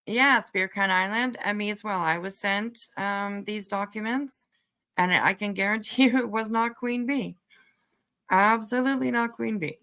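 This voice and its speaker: A woman's voice: mid-range voice, moderate pace, bright, forceful tone. A woman hosting a casual livestream commentary, talking bluntly and emphatically, repeating phrases for emphasis and swearing casually. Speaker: livestream host.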